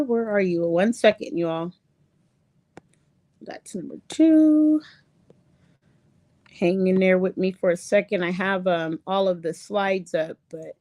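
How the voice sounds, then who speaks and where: Woman, slow halting lecture, many ums, reading slides, english not her first language, dry close sound. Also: mid-range voice, warm tone, no room sound. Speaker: online show host.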